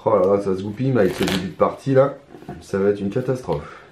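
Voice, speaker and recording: A hoarse man talking his way through a solo card game in hesitant, mumbled commentary. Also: on the low side, fast talker, background noise. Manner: hesitant, mumbling, many restarts, muttering to himself. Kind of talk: casual solo gameplay commentary. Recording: roomy echo, faint ambience.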